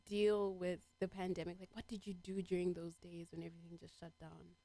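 A woman's voice: on the low side, flat delivery, brisk, mellow, no room sound. A woman hosting a radio show, chatting casually with a guest in an interview.

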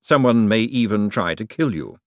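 Audiobook role: ruling lord male narrator acting, measured, formal, quietly threatening, drily ironic, close dry sound. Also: on the low side, animated, no echo. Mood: coolly commanding. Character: urbane, calmly menacing ruling lord, dry and precise.

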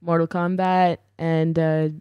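A woman from Pakistan, a podcast guest telling stories in casual chat with quick, dark humour. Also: low-pitched, flat delivery, clear, resonant tone, no room sound, lo-fi sound.

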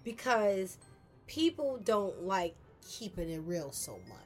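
A woman's voice: medium pitch, very animated, takes it slowly, faint music behind. A woman with a strong voice, chatting as a podcast guest in a blunt, emphatic, get-to-the-point way. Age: adult with a daughter. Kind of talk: casual chat.

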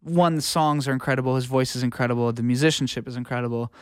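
A man's voice: medium pitch, fairly even intonation, fast talker, clean tone, no room sound, clean studio sound. A man with a North American accent chatting casually as a podcast guest, peppering his talk with filler words like "like" and "you know". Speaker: podcast guest, audio engineer. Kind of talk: casual chat.

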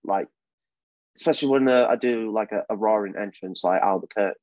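A young British man answers questions in a casual podcast, hesitant and full of "um"s and "like"s. His voice sits a little low and is recorded dry and close, with a dull, lo-fi tone. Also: fast talker, darkish tone, dry recording. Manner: hesitant, many fillers and restarts. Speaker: wrestler guest.

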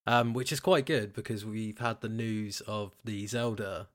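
A man's voice: lowish voice, steady tone, a little slow. A British man hosting a podcast in casual chat about games and films. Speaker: podcast host.

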